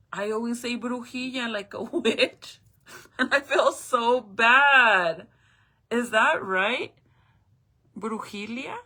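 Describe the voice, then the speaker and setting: A woman's voice: a little high, bright, forceful tone. A woman whose first language is Spanish, chatting casually with her viewers on a live stream, telling stories and swearing freely.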